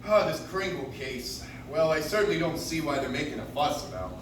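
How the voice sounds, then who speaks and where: A weary, dignified old judge acted by a man blusters and hesitates in a high, very animated voice. It is old-time radio play acting, recorded in an echoey, noisy room. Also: fairly quick, lightly acted. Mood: worried, flustered. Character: flustered grandfatherly judge, weary, evasive, dignified. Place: coffee shop stage, recorded from the audience. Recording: noisy, very echoey live room, distant mic.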